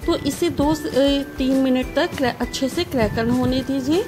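High-voiced woman explaining a recipe. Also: normal speed. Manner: friendly instructive, repeats emphatic praise. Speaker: cooking channel host. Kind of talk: step-by-step recipe voice-over. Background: background music.

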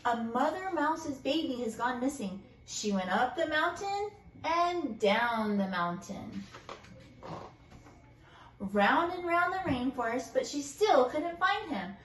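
A woman reads a picture book aloud with dramatic, emphatic phrasing. Her voice is high and bright, and the room adds a clear echo. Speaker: storybook reader. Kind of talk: expressive children's story narration.

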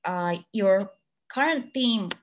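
A woman's voice: medium pitch, animated, takes it slowly, lo-fi sound, a little hiss or hum. A young woman with an Indonesian accent giving a slide presentation over a video call, hesitant with many fillers and restarts. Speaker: masterclass speaker.

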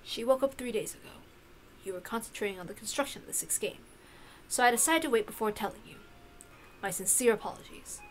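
A woman playing a deferential old male butler in a visual novel, speaking in a grave, formal, measured way. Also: fairly high pitch, faint music behind, close to an everyday voice, poor audio. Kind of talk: visual novel character reading.

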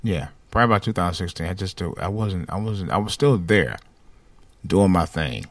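A man speaks on a casual live talk stream with a light voice that he says lacks bass. He rambles and restarts his sentences, and his pitch rises and falls widely. The recording is dry, with no room sound. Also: lively intonation, a little fast, dry recording. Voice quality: light voice without much bass. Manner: rambling, repeats and restarts phrases. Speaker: podcast host. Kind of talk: casual personal monologue.

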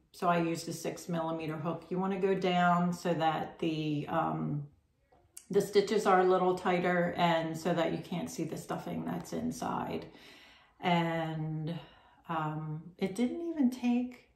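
A middle-aged woman talks slowly and casually to the camera, drifting through her thoughts with plenty of ums. Her voice is lowish with a gravelly edge. The room around her rings with a noticeable echo. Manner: rambling, chatty, many ums and self-corrections. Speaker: crochet podcast host. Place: home room with red striped wallpaper, indoors. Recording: roomy echo.

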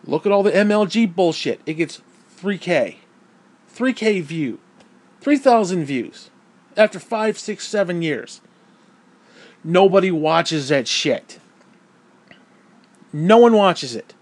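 Hoarse, heated, foul-mouthed man. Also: high voice, expressive, takes it slowly, long pauses. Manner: profane, blunt and heated. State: hoarse, losing his voice. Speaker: gaming vlogger. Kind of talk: angry opinion rant.

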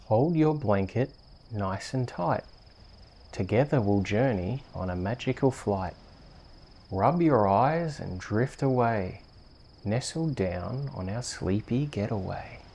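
An Australian man with a mellow, slightly creaky voice reads a bedtime story for ASMR. His delivery is slow, soft and soothing, as a host settling listeners down for sleep. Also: on the low side, animated. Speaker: host reading bedtime stories on a video channel.

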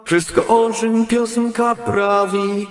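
A man's voice: very high-pitched, flat delivery, slow pace. A man playing a tender enchanted page turned butterfly, delivering lyrical verse in a fairytale radio play. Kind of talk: slow lyrical song-like verse. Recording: echoey long reverb, music behind.

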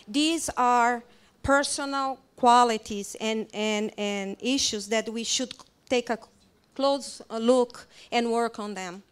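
A woman's voice: fairly high pitch, fairly bright tone, clear, resonant tone. A middle-aged woman with a Brazilian Portuguese accent, giving a chatty seminar talk to a group in a hotel meeting room on a handheld mic, with many fillers. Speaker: children's ministry trainer. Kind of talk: seminar presentation.

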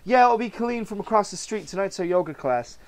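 A man's voice: sits high, lively intonation, quick pace, voice pushed up. A man voicing a caring, fussy young woman chiding her father in a comic-book reading. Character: caring, nagging young adult daughter.

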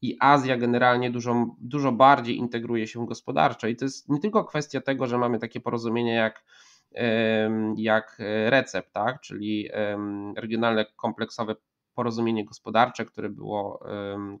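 A man's voice: mid-range voice, little pitch movement, a bit bright. Hesitant man analysing on a video call. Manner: measured, many hesitations and restarts. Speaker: geopolitics commentator. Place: home room, indoors. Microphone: video call, earphones with mic. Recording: slightly dull lo-fi call audio.

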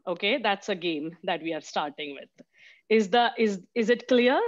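A woman in her forties from Mumbai giving a mentorship talk over a video call, halting with many fillers and restarts. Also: medium pitch, expressive, dry recording. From Mumbai, India. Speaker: guest speaker. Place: small room indoors. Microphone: headset on a video call. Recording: dull lo-fi video call audio.

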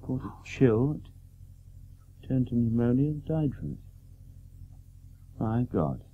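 An actor in a radio play playing a courteous, urbane aristocrat with a formal, genteel manner. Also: male voice, mid-range voice, big pitch swings, slow. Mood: grave. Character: courteous aristocratic English lord, urbane family friend. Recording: dull, hissy old radio recording.

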